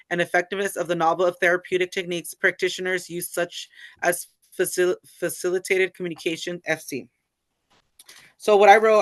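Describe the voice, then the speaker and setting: A young college woman reading questions aloud and answering haltingly, with many "uh"s and "you know"s. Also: lowish voice, dry recording. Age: young adult. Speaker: college student.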